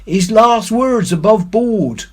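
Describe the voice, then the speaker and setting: A man's voice: sits high, animated, takes it slowly, pitched above the performer's own voice, heavily acted. A man voicing a gloomy, superstitious pirate crewman who growls and moans in salty dialect for a storybook. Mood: fearful.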